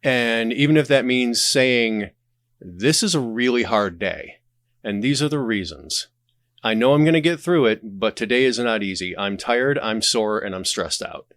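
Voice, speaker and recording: A man's voice: mid-range voice, animated, moderate pace, fairly bright tone, no room sound, studio quality. A man speaking as a podcast guest in a casual, candid conversational style. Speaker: podcast guest, audio drama creator and streamer.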